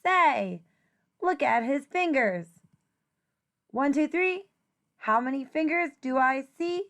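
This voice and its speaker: A woman teacher reading a children's rhyming picture book aloud in an expressive, deliberate, singsong way. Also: high-pitched.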